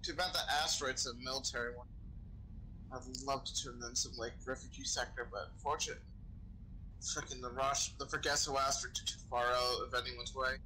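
A man's voice: mid-range voice, steady tone, brisk, sharp tone, rough recording. A young man improvising in character as a bartender in game role-play over voice chat. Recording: faint background noise on game voice chat.

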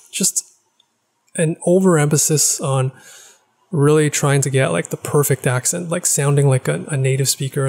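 A man's voice: mid-range voice, gravelly, a little mellow. A young man talking through his thoughts on a casual vlog, thoughtful and rambling, often restarting sentences, into a podcast mic in a small living room. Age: young adult. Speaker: language-learning vlogger. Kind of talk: casual reflective monologue. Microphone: boom-arm podcast mic.

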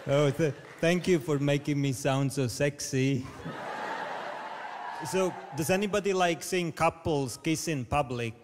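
A man from Latvia with a Latvian accent, telling hesitant, stammering deadpan jokes on a theatre stage into a handheld mic. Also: on the high side, a little slow, a crowd in the background. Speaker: comedian contestant. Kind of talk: stand-up comedy audition. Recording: reverberant theatre hall, audience noise.

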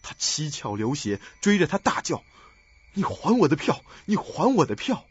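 A man's voice: on the high side, very animated, normal speed, slightly rough, no room sound, highs cut off, full character voice. A man playing an earnest, uneasy young investigator in a suspense radio drama. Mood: urgent, storytelling.

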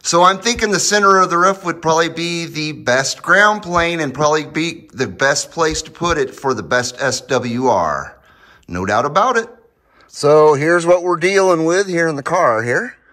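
Casual American male vlogger, folksy and informal, with very varied intonation and a bright edge. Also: a little high, animated, moderate pace. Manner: folksy, lightly swearing, informal. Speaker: host of a hobby radio channel. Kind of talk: casual project vlog narration. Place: home garage, indoors.

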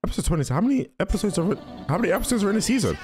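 Animated young man thinking aloud. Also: high voice, very fast. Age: young adult. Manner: slangy, thinking aloud, repeating himself. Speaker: reaction video host. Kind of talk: casual reaction commentary. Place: indoor room. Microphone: large microphone close to mouth. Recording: music and show audio behind.

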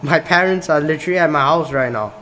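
A man's voice: fairly high pitch, quick pace, sharp tone, slight background noise. A young man from Singapore who plays a game on camera, reads its questions aloud and reacts casually, often saying "bro". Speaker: gaming YouTuber. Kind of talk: casual gameplay commentary. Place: small room indoors.

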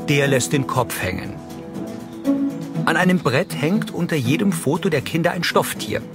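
A man reading scripted audio description in a neutral, matter-of-fact way. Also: medium pitch. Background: loud music.

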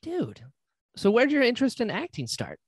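A man's voice: very high-pitched, huge pitch swings, a little fast, slightly rough, dry recording. Podcast host guy, upbeat, voice jumps all over, dry sound. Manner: upbeat and chatty, joking along with the guest. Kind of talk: casual podcast interview.

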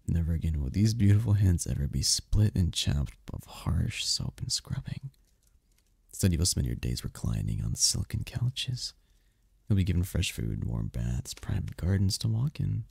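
A man voicing a seductive, possessive demon prince in an ASMR roleplay, with a husky, gravelly voice and a regal, flirtatious manner. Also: low-pitched, big pitch swings, a little mellow, no room sound, full character voice. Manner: regal, slow-savouring, flirtatious.

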